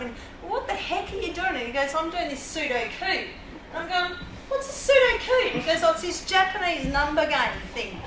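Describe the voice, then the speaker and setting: A woman telling a personal anecdote to a group with lively energy, acting out the dialogue as she goes. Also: high voice. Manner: lively, acts out dialogue, laughs along. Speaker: workshop participant. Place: room with a group of people. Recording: distant, echoey room with noise.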